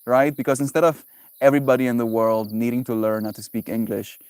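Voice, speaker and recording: A man's voice: medium pitch, little pitch movement, fast, darkish tone, smooth, dry recording. Playful man, dry sound. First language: Afrikaans. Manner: playful, musing aloud, savoring and repeating words. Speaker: livestreamer. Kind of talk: casual solo livestream chat.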